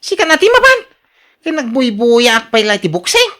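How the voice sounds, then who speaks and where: A woman acting as a lazy Ilocano husband in a radio drama, fairly high and very lively in pitch. The delivery is sharp, whiny and quarrelsome, full of exclamations. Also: normal speed, heavily acted. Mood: lazy, irritated.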